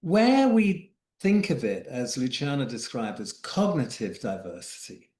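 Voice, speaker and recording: A man's voice: mid-range voice, sing-song, unhurried, a little mellow, roomy sound. A man, an executive coach and guest, speaking reflectively in a podcast interview and often repeating words as he thinks aloud.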